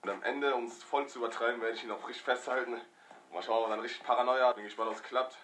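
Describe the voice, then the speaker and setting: Hoarse young man with a cold. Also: mid-range voice, fast talker, slight background noise. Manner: energetic, slangy, addresses viewers directly. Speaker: prank video creator. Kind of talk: casual prank vlog talking to viewers. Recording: music playing behind voice.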